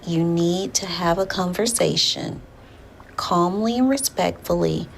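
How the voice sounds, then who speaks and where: A woman speaking as a therapist in a session, with deliberate, formal phrasing. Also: on the low side. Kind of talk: measured counseling session talk. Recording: clear background room noise.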